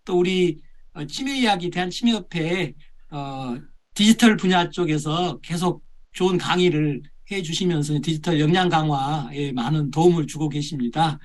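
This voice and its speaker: A man with a strikingly high voice hosts a video-call session. He speaks formally and politely, pausing on hesitant fillers. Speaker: session host.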